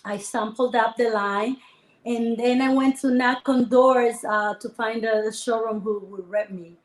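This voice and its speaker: A woman from the Dominican Republic, a guest entrepreneur telling her story in a lively, animated conversational interview. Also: a little high, unhurried. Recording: echoey room.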